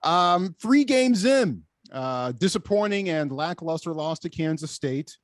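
A man with an American accent hosts a sports interview show. His voice sits a little high, and he speaks in long, emphatic phrases. The sound is dry and close. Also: lively intonation, measured pace, no echo. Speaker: sports podcast host.

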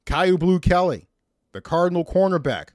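An American man hosting a sports podcast, giving preview commentary to listeners in emphatic, deliberate phrases. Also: high voice, lively intonation, darkish tone, no room sound, clean studio sound.

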